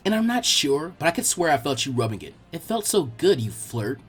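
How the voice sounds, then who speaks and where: Man voicing a sly, husky teenage ninja girl. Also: a little high, very animated, brisk, faint music behind. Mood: teasing. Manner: teasing, sly.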